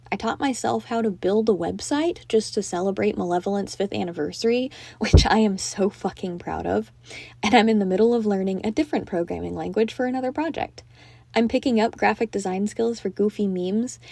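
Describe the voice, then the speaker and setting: A woman's voice: medium pitch, gravelly, dark tone, no echo. A young woman reading out a prepared personal testimonial as a listener's voice message.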